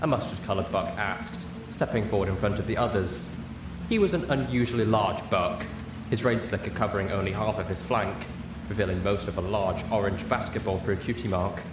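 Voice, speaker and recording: A man reading a story aloud as narrator, in an expressive scripted style. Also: on the low side, a little fast. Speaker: audiobook narrator. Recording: roomy, echoey, clear background noise.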